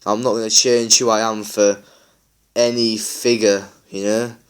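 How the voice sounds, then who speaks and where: A man talks loosely over his gameplay, his voice a little low and worn croaky from a long session. He rambles with plenty of fillers, friendly and unscripted. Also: little pitch movement, unhurried. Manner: rambling, chatty, many fillers. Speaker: gaming YouTuber. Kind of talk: casual gameplay chat.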